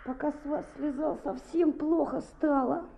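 A woman playing a mischievous, chatty schoolboy in a radio play, expressive and boyish. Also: sits high, a little slow, creaky. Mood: sheepish. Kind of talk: radio play narration and dialogue. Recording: muffled, narrow-band old radio recording.

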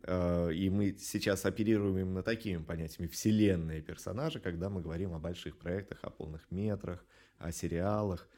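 Low, mellow middle-aged man, reflective. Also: fairly even intonation. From Russia. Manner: reflective, hesitant, measured phrasing. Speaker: animation studio director, interview guest. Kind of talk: thoughtful interview talk.

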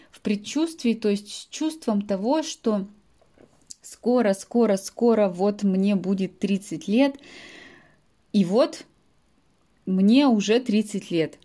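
A woman's voice: medium pitch, slow pace, dark tone. A woman in her late twenties, a native-speaking language teacher, talks to her students in a podcast monologue and stops to explain words in simple terms. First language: Russian. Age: late 20s.

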